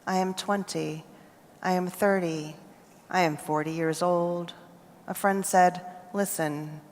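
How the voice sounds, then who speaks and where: An American woman reads her poetry slowly and deliberately, letting each line settle before the next. Her voice sits a little low, and a long reverberant hall tail trails after her words. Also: slight background noise. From United States. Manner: measured, deliberate phrasing with pauses between lines. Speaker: poet. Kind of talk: poetry reading. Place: festival reading hall.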